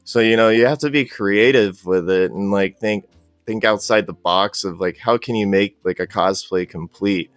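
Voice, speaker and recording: A man speaks in a low, smooth voice with very little room sound, over faint music. He talks in an easygoing, rambling way, like a guest on a casual podcast. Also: normal speed, dry recording. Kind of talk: casual interview chat.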